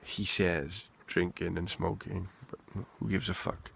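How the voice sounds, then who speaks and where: A man's voice: sits low, little pitch movement, unhurried, creaky, a bit bright. A Welsh man rambling candidly and swearing freely in an unscripted monologue, recorded outdoors in the wind. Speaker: vlogger.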